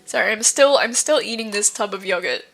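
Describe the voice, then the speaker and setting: A woman's voice: medium pitch, brisk, creaky, fairly bright tone. A woman streaming, chatting casually with viewers, rambling with lots of fillers and a stuffy nose from hay fever.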